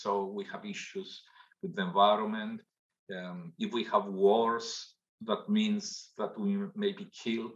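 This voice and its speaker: An older man speaking as a guest on a conference panel over a video call, slow and halting, with many pauses and restarts. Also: low voice, fairly bright tone. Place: home room, indoors. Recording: dull lo-fi video call audio.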